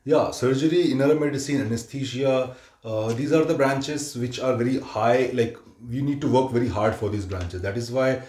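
A man in his thirties from India hosts a relaxed sit-down chat on a sofa, his voice sitting at a middle pitch. He explains at length, with filler sounds and restarts. The sound carries a noticeable room echo from a camera mic some distance away. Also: normal speed. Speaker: interviewer and channel host. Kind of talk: casual conversational interview. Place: living room, seated on a sofa indoors. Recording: echoey room.

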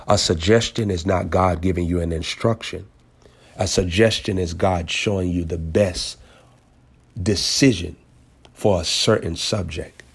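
A male preacher with a very low, husky voice delivers prophetic teaching. He speaks deliberately in short maxims. Key phrases come back a second time for emphasis. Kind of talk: prophetic teaching over a phone call.

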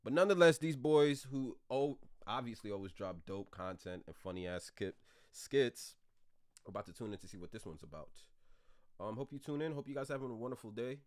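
A young man hosting a casual reaction video from a room at home, talking in an animated, slangy way. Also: mid-range voice, brisk. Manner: animated, slangy, laughs along. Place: home room with gaming chair.

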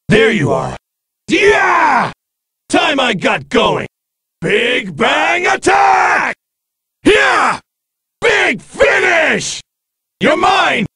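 An actor voicing a cocky fused male warrior in a video game, with a raspy, layered voice, taunting and shouting battle cries in a studio recording. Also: very high voice, no room sound, exaggerated voice.

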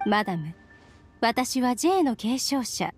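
A woman's voice: fairly high pitch, sing-song, slow, faint music behind. A woman playing a composed, sly young female visitor in an anime game, teasing and assured. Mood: formal, poised. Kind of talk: Japanese anime game acting.

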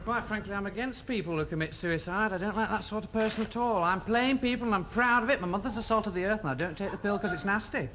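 A man's voice: high voice, fast talker, hardly any pauses, close to an everyday voice. A man playing a whining, disapproving middle-aged English housewife in television sketch comedy, nagging and repeating complaints. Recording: noisy 1970s television studio recording.

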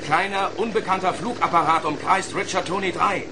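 An anxious radar operator reports in formal, urgent phrases. His voice is fairly high and clear noise runs behind it. The delivery belongs to a children's radio play. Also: a little fast.

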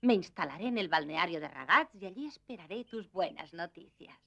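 An actress playing a kind, gentle old grandmother in a dubbed children's cartoon, reassuring and affectionate. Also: fairly low pitch, expressive, brisk, creaky, dull audio, full character voice. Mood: tender.

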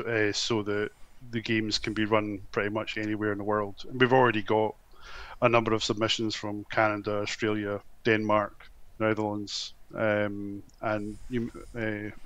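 A man's voice: on the low side, a bit bright, dry recording. A man with a slight Glasgow accent from Scotland, a convention organiser chatting at length in an interview, with lots of ums. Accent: Scottish, slight Glasgow. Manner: talks at length with frequent ums and restarts. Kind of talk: casual podcast interview.

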